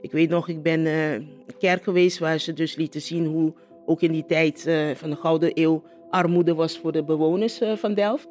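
A woman speaks as a councillor being interviewed, in a fairly low voice for a woman. She talks off the cuff and haltingly, searching for her words, with faint music behind her. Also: fairly even intonation, a little mellow. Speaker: city councillor. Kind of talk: spontaneous interview recollection.

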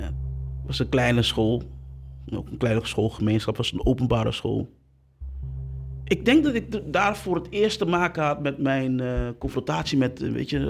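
A man's voice: mid-range voice, vocal fry, a little hiss or hum. A man in his fifties from Curaçao telling a personal story in conversation, emphatic and acting out what others said. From Curaçao, moved to the Netherlands at eight. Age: 50s. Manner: emphatic, acts out quoted speech. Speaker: interviewed guest, activist.

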